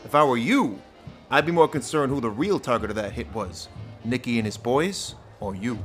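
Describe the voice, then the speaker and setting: A man's voice: medium pitch, animated, normal speed, a bit bright, faint music behind, lightly acted. A man playing a callous, bossy underground casino owner in a pulp superhero audio drama. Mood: smug, needling.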